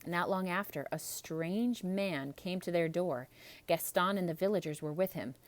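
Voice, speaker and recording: A woman reading a children's story aloud in an expressive, theatrical narrating style. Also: fairly low pitch, normal speed. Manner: expressive, dramatic.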